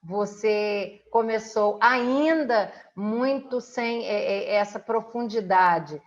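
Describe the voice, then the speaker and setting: A Brazilian woman recounting things as an interviewer in a conversational, deliberate way, with frequent checking tags. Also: medium pitch, muffled recording.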